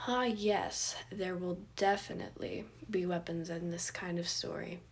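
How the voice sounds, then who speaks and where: A wry older male guardian played by a woman, composed and formal. The phrasing is measured and gently teasing, in the manner of a storybook character. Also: on the low side, steady tone.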